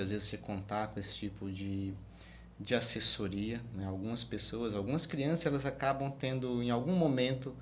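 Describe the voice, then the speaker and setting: A middle-aged man hosting a conversational interview, building long questions in short, measured phrases. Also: on the low side, a little hiss or hum. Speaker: interview host.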